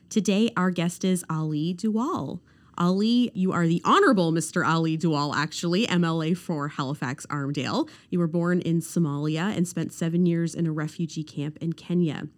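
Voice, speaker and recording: A woman, hosting an interview podcast and asking gentle questions. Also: lowish voice. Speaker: podcast host. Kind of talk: interview hosting, scripted intro.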